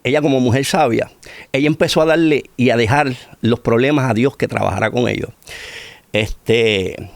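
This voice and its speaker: Man, middle-aged, Puerto Rican accent, gravelly voice, emphatic repetition, testimony, dry close sound. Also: mid-range voice, no echo. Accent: Puerto Rican Spanish. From Puerto Rico. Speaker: interview guest giving testimony. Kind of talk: personal testimony in conversation.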